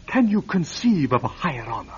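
An actor playing a scheming German intelligence major, smooth and coaxing, in a 1940s radio drama. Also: male voice, fairly high pitch, sing-song, a little fast. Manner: smooth, coaxing, quietly fanatical. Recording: dull lo-fi old radio recording.

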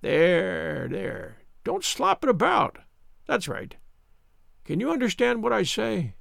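Man putting on a gloating high-pitched villain. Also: wildly swooping pitch, slow pace, creaky, fairly bright tone, no echo, studio quality, full character voice. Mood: cruel, taunting. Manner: taunting, insistent, mock-concerned. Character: gloating, sneering, cruel villain, a smug man. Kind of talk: dramatized audiobook reading.

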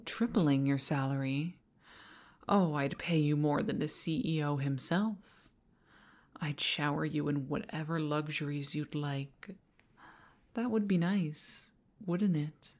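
Woman acting a low, slow, possessive seductress boss. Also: darkish tone, full character voice. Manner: breathy half-whispers, drawn-out coaxing, condescending sweetness. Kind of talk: intimate romantic audio roleplay.

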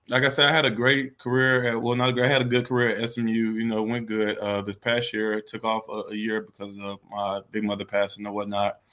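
A man's voice: on the low side, fairly bright tone. A man in his twenties with an American accent, a college athlete giving candid, casual interview answers in a conversational way. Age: 20s. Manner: candid and conversational, frequent "you know". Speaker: college football player being interviewed.

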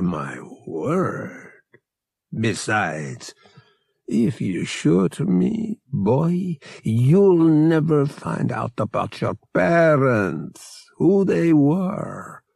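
A man's voice: lowish voice, vocal fry, dry recording, studio quality, full character voice. A man voicing a sly, menacing older captain in an audiobook, with a folksy, wheedling drawl that coaxes and taunts.

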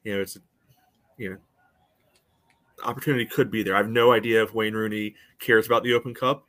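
A man's voice: on the low side, fairly even intonation, fairly quick, fairly bright tone, no echo. A man giving casual sports analysis on a podcast, rambling along and peppering it with filler phrases. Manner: rambling, peppered with "you know".

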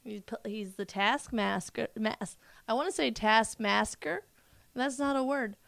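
A woman's voice: a little high, measured pace, creaky, fairly bright tone, dry recording. A woman co-hosting a podcast in casual chat, playful and fond of puns. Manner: joking, punny, playful. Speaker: podcast co-host.

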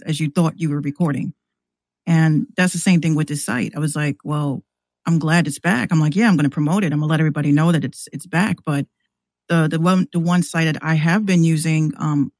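A woman guesting on a podcast, chatting and telling stories casually in a slangy, halting way with repeated words. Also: low voice, darkish tone, dry recording. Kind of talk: casual chat, storytelling.

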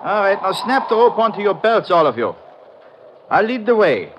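An actor playing a genial but firm mountain guide with a German accent and formal phrasing, in an old-time radio drama. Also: male voice, high-pitched, sing-song. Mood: genial instructing. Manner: authoritative, formal, measured phrasing. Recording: muffled, noisy vintage radio recording.

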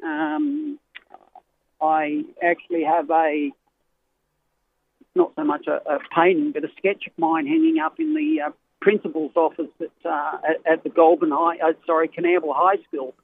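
Australian male trainer, middle-aged, gravelly, slightly high voice, hesitant, tinny phone line. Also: lively intonation, normal speed, slight background noise. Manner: rambling, hesitant, many fillers and restarts. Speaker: racehorse trainer guest. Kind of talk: casual radio interview.

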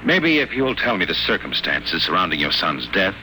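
Deep-voiced wry private eye. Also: male voice, normal speed, slight background noise, full character voice, poor audio. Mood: cool, confident. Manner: deadpan, wisecracking. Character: wry, hard-boiled male private investigator narrating his case. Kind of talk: old-time radio drama acting, noir narration. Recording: old radio recording with loud music.

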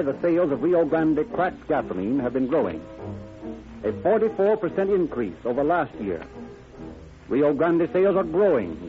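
An American man as a 1930s radio announcer, reading advertising copy with emphatic, formal salesmanship. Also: fairly high pitch. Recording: tinny 1930s radio, music behind.

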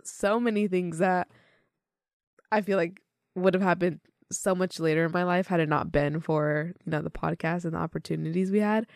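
A twenty-year-old woman with a lowish, fry-heavy voice co-hosts a casual podcast. She is giggly and upbeat. Her voice sounds dry and close to the mic. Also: steady tone, moderate pace, darkish tone, dry recording. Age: 20s. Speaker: podcast co-host.

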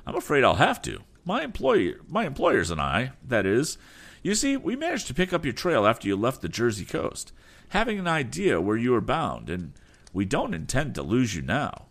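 A man's voice: medium pitch, huge pitch swings, normal speed, fairly bright tone, voice pushed up, exaggerated voice. A man voicing an insolent, smug rival businessman in a storybook reading. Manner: sneering, pushy.

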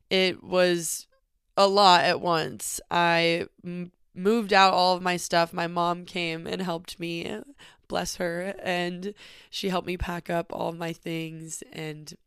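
Sniffly young woman, crisp and low. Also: no room sound, clean studio sound. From Northern California. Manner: open, reflective, frequent "like" fillers. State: sniffly from allergies. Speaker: podcast host. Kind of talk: casual personal monologue. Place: small cabin in nature.

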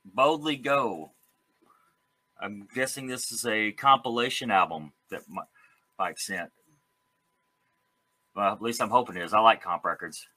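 Man, low-pitched, bright forceful voice, chatty interview host, enthusiastic, laughs easily. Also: a little slow, long pauses. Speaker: host of a music interview show. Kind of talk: casual, enthusiastic interview chat.

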